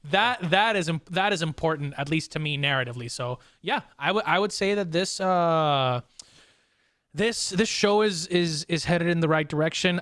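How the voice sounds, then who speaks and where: Casual male podcast host, fairly high voice. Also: fairly bright tone, no echo, clean studio sound. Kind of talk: casual fan discussion of anime.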